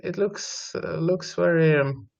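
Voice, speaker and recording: A man's voice: fairly high pitch, slow, gravelly. A man from Sweden, originally Colombia, giving conversational chess analysis as a guest commentator, hesitantly thinking aloud. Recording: slightly dull, lo-fi audio.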